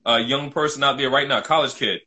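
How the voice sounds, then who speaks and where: A man's voice: mid-range voice, steady tone, brisk, sharp tone. A middle-aged man from New City, telling his own story casually as an interview guest, emphatic and often repeating himself. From New York City, United States.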